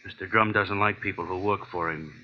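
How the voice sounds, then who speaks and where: An actor playing a gruff henchman with blunt tough-guy talk in a 1940s radio crime drama. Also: low voice, steady tone, brisk, natural voice. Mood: guarded. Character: scar-faced male henchman, gruff, secretly lovesick. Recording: muffled, noisy old radio recording.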